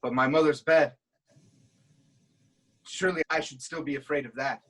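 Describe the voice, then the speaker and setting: Tragic king, proud, fearful, declamatory, slightly high husky voice. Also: fairly even intonation, moderate pace, a bit bright, poor audio. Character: troubled proud king, fearful and haunted by prophecy. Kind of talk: dramatic reading of Greek tragedy.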